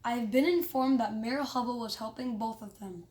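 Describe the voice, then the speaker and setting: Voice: mid-range voice, moderate pace, a little mellow, close to an everyday voice. A reader as an announcing male school principal. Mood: formal, regretful.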